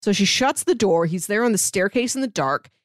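Female co-host, medium pitch, conversational and halting storyteller, very dry recording. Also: lively intonation, no echo. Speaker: podcast co-host. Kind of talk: casual book discussion chat.

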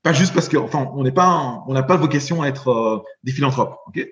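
A man in his forties from Belgium, a podcast guest talking in a halting way, with many fillers and repeated words. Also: mid-range voice, fairly bright tone, roomy sound, dull audio. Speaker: guest, investor. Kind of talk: podcast interview.

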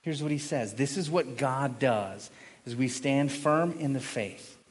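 A male pastor preaching a sermon with emphatic, dramatic swings from raised voice to hushed emphasis, leaning on repeated phrases and rhetorical questions. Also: mid-range voice, unhurried. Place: large reverberant indoor church hall. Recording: long reverb of a large hall.

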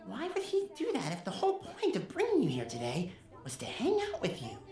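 An intense child captive pleads with earnest urgency, acted by a woman in an audio drama. The pitch swings dramatically, and the sound is roomy and echoing. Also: huge pitch swings, fairly quick, dark tone. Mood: grave.

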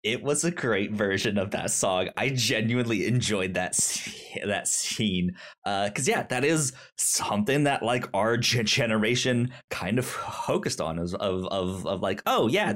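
Slow, halting man on a podcast. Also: on the low side, expressive, a bit bright. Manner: halting, stammering, repeating word starts. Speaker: podcast co-host reviewing a film. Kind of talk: casual film discussion.

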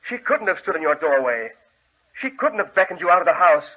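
An actor playing a scheming, jealous brother in an old-time radio horror drama, speaking in an urgent, commanding, melodramatic way. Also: male voice, high-pitched, very animated, fairly quick, breathy edge, phone-quality sound, full character voice. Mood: stern insistence. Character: scheming, jealous brother, cold villain feigning concern. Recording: thin, band-limited vintage radio recording.

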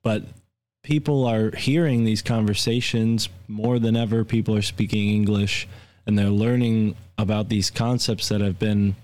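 A man from the United States co-hosting a podcast, talking in a relaxed, thoughtful way and asking open questions. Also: lowish voice, normal speed. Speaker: podcast co-host. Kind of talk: casual podcast chat.

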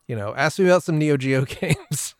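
A man's voice: a little high, expressive, normal speed, smooth, no room sound, studio quality. A man talking casually and informally as a guest on a gaming podcast.

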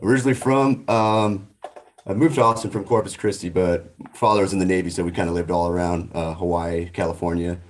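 A relaxed male guest with a very low voice answers casually, pausing on fillers as he talks. He sounds like an easygoing musician chatting on a podcast. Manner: relaxed, hesitant, with many fillers. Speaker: bass player, guest.

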